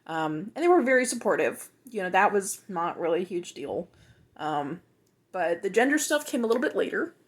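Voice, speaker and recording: A woman of twenty-five, talking in a reflective, hesitant way with many pauses and restarts for a personal video journal. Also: mid-range voice, sing-song.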